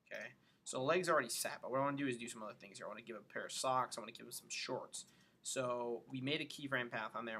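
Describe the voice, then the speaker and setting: Bright-voiced man giving a software tutorial. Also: mid-range voice, a little hiss or hum. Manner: informal, thinking aloud step by step. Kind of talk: casual screen-recorded software tutorial.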